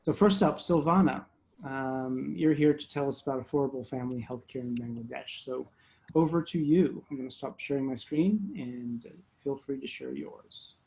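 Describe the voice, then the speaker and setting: A man hosting and moderating an online pitch show over a video call, relaxed and friendly with a few fillers. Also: normal speed, poor audio.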